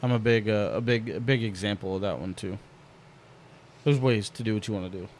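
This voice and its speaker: A man's voice: on the low side, fairly quick, a little hiss or hum. Laid-back, cursing male podcast host. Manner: laid-back, swears freely, jokey. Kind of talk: casual podcast chat.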